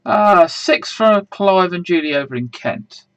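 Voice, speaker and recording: A man hosting an online quiz in a casual, rambling way, full of fillers, with a slightly croaky voice. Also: high-pitched, animated, a little slow, fairly bright tone, no echo. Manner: chatty, rambling, many fillers and restarts. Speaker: quiz host.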